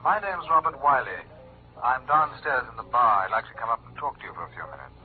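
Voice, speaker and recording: Actor as a sly, lively-pitched crook, tinny radio. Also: male voice, fast talker, close to an everyday voice. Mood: casual, insinuating. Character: sly, pushy small-time male crook offering a deal. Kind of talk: 1940s radio drama acting. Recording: tinny old radio, music behind.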